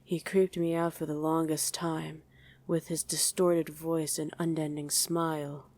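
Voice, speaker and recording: Wry, irritable female demon played by a woman, slightly low voice, conversational ASMR roleplay banter with a work partner. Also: little pitch movement, a little slow, darkish tone. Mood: casual, curious. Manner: conversational, hesitant musing, bickering with a partner. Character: female demon on mortal-hunting duty, wry, easily irritated. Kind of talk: ASMR roleplay acting to an unheard listener.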